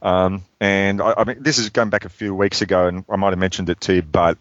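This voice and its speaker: Very deep-voiced man, rambling. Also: fairly even intonation, fairly bright tone, dry recording, muffled recording. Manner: rambling, halting, many fillers and restarts. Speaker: podcast co-host reviewing films. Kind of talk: casual film chat.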